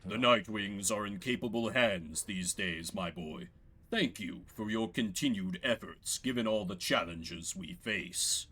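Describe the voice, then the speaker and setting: A man's voice: fairly low pitch, sharp tone, slight background noise. Man voicing a courteous, fatherly older leader, Volfred. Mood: grave encouragement. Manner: formal, measured, eloquent. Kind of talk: fantasy game dialogue.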